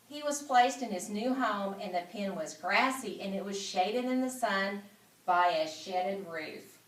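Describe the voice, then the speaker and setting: A woman's voice: medium pitch, a bit bright. A woman reading a children's story aloud expressively and dramatically, repeating phrases and adding asides. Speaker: school principal reading aloud. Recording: echoey room, somewhat distant mic.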